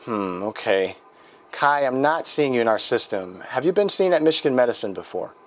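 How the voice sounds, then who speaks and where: A man's voice: medium pitch, expressive, moderate pace, close to an everyday voice. A man with an American accent playing a polite, helpful clinic receptionist in a scripted training role-play call. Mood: helpful, businesslike. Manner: courteous, accommodating, procedural.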